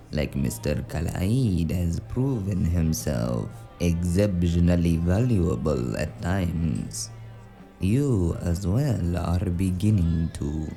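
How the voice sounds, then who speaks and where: A man playing a suave, menacing crime boss in a noir audio drama, speaking formally and deliberately with silky threat. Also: low voice, vocal fry, dark tone, heavily acted. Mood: condescending calm.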